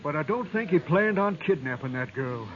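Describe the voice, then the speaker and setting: An actor playing a blunt, matter-of-fact stagecoach driver in an old radio western. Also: male voice, a little high, animated, normal speed, natural voice. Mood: urgent. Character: plain-spoken male stagecoach driver, weathered. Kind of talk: 1950s radio drama acting. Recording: lo-fi old radio with crowd murmur.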